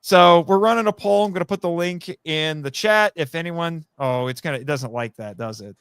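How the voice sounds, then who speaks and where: A man's voice: fairly high pitch, moderate pace, a bit bright, smooth, no echo, clean studio sound. A man speaking as a podcast guest in a casual, rambling, jokey way, plugging his own projects with some self-deprecation. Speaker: podcast guest, writer and audio drama voice actor.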